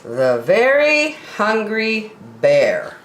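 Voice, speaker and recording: A woman's voice: mid-range voice, huge pitch swings, drawn-out words. A middle-aged woman reading picture books aloud expressively for young children, in a roomy, echoing home recording.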